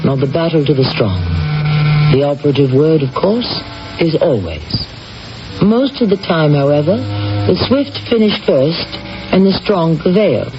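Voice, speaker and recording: Male drama host, fairly high animated voice, wry emphasis, muffled old broadcast. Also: a little slow. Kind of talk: theatrical scripted introduction. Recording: muffled, noisy old radio.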